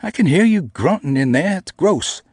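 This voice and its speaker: A man's voice: high voice, expressive, normal speed, darkish tone, breathy edge, no room sound, studio quality, voice pushed up, exaggerated voice. A man voicing a snarky young woman scientist who crudely teases over an intercom. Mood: mocking. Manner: mocking, crude teasing.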